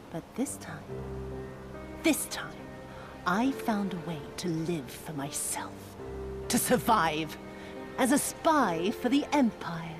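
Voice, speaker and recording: An actress playing an embittered, cruel female spy in a video game, with theatrical, deliberate delivery and archaic formal diction. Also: medium pitch. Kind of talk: dramatic video game villain monologue. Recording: dramatic music behind the voice.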